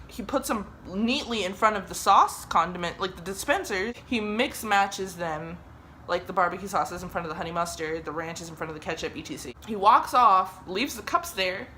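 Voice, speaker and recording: A woman's voice: medium pitch, a little hiss or hum. A young woman from Germany telling a story in a casual, chatty way, swearing often. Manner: chatty, swears often, lively re-enacted quotes.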